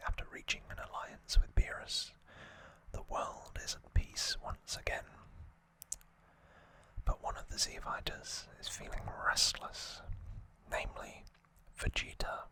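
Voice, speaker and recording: Hushed ASMR whisperer. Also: poor audio. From United Kingdom. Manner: hushed, unhurried, informal. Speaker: ASMR video host. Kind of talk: whispered ASMR, relaxed show-and-tell commentary.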